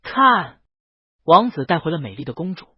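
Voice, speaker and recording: A woman's voice: fairly low pitch, very animated, moderate pace, breathy edge, no room sound, dull audio, pitched below the performer's own voice. A woman voicing theatrical palace figures, such as courtiers and a prince, in a fairy-tale story. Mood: admiring.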